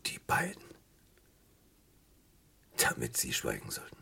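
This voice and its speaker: A man's voice: rumbling low, huge pitch swings, takes it slowly, gravelly, sharp tone, slightly rough, whispered, exaggerated voice. An actor in a 1970s radio crime play voicing an incredulous, probing police inspector who echoes the answers he gets. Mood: stunned. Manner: questioning, echoes answers, swings from muttering to exclaiming.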